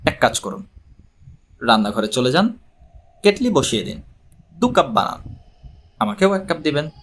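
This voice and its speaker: A man's voice: a little high, very animated, normal speed, husky, exaggerated voice. A man voicing a wry, unflappable male investigator in an audiobook, dry and plain-spoken. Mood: wry, calm.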